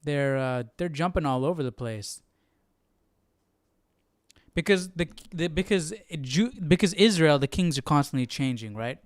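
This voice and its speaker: A man's voice: fairly high pitch, lively intonation, unhurried, dry recording. A man hosting a casual Bible study livestream, commenting informally and thinking aloud. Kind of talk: casual live reading commentary.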